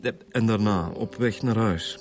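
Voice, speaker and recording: With clear music behind him, an actor plays a Flemish male police detective in a radio drama. His voice is fairly low. He is world-weary but polite, stumbling and repeating himself as he puts his questions. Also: close to an everyday voice. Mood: polite, probing. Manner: halting, hesitant, repeats words.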